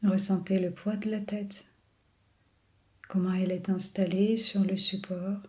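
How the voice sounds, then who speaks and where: A woman leading a guided body scan meditation in a soothing, unhurried manner with long pauses. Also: medium pitch, flat delivery, a little mellow. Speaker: yoga and relaxation guide.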